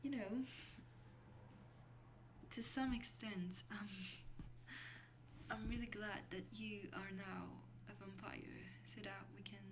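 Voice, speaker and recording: A woman playing an affectionate young vampire girlfriend in an ASMR roleplay, speaking softly and intimately in a hesitant, breathy voice that often drops to a whisper. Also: medium pitch, fairly even intonation. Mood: tender, glad. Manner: slow, hesitant, intimate, with small breathy laughs. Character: affectionate young female vampire girlfriend mentoring a newly turned lover. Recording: clearly noisy recording with hum.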